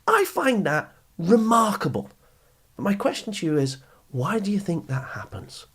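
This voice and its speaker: A man's voice: high voice, sing-song, moderate pace, a bit bright, husky. A man hosting an educational podcast for teenagers, speaking straight to the listener in an encouraging, instructive, emphatic way. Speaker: podcast host and coach for teenagers. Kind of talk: motivational educational podcast monologue.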